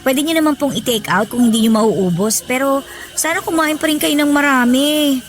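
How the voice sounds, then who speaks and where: A woman's voice: sits high, moderate pace, faint music behind, natural voice. Woman acting a caring, respectful younger friend. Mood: concerned, kindly. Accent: Filipino. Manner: polite, solicitous. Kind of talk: Filipino radio drama acting.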